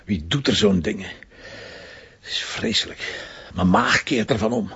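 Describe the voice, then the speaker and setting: A wry Flemish police commissioner. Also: male voice, lowish voice, normal speed, fairly bright tone, breathy edge, heavily acted. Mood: investigating. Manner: dry, sardonic remarks. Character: blunt, wry middle-aged male police commissioner. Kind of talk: TV crime drama acting. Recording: dull lo-fi TV soundtrack.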